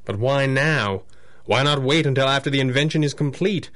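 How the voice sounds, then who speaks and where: Man acting radio drama hero, unflappable detective boss, medium pitch, crisp deductive delivery, commanding. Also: moderate pace, fairly bright tone, close to an everyday voice. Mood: dry, pondering. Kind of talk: 1930s-set radio superhero drama acting.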